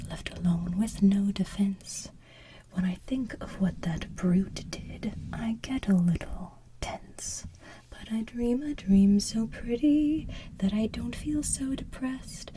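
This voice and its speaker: A woman voicing a vengeful, scheming cartoon lioness mother, softly singing a sinister lullaby in whispers. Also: fairly high pitch, slight background noise. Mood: aggrieved, dreamy. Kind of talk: soft whispered musical singing.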